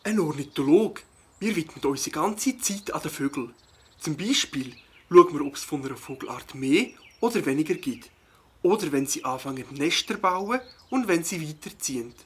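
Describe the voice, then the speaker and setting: A man's voice: a little high, fairly bright tone, husky. A man playing a friendly, earnest ornithologist in a children's audio play, explaining patiently with a Swiss accent.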